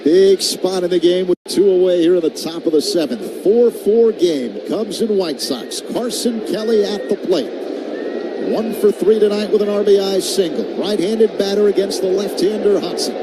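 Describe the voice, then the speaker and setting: A man calling live baseball play-by-play on the radio in clipped phrases that build with the action. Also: sits high. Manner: clipped phrases, builds to shouts on big plays. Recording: loud constant stadium noise behind.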